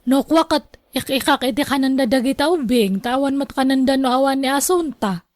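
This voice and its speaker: Woman playing a high-voiced, gossipy Filipino daughter. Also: fairly quick, a little mellow, no room sound, lightly acted. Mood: complaining, tattling. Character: gossipy younger woman tattling to her mother. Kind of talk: radio drama acting.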